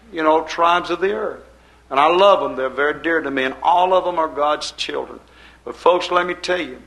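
An older man preaches to his congregation in a folksy, meandering way, circling back to the same phrases again and again. His voice is gravelly, sitting a touch higher than most men's. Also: a bit bright, rough recording. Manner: folksy, rambling preaching with repetition. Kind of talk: sermon. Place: church service before a congregation.

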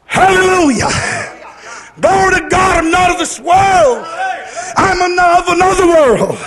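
High-pitched man preaching a fervent revivalist sermon. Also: animated, a little slow, shouting, over a noisy crowd. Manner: declamatory, repeats phrases, sing-song cadence; rhetorical questions to the congregation.

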